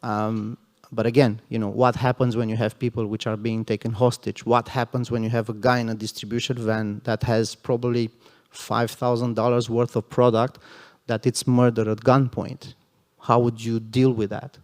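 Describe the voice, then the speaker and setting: A man's voice: mid-range voice, flat delivery, normal speed. A man speaking as a security panelist at a conference, earnest and emphatic, with frequent "you know" asides. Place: conference hall panel stage. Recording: long hall reverb.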